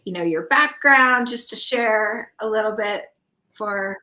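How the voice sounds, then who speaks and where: A woman hosting a casual online interview, introducing her guest in short, halting phrases. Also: a little high, pushed and bright. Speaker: online summit host.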